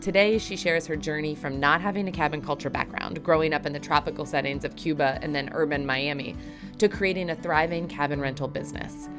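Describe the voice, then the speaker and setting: Low-voiced woman podcast host. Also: a little fast, music in the background. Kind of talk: scripted intro, then conversational interviewing.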